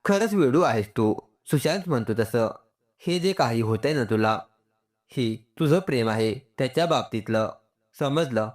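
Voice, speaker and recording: A man voicing a caring, gently scolding mother-in-law in a narrated family story. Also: medium pitch, expressive, moderate pace, lightly acted. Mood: tender.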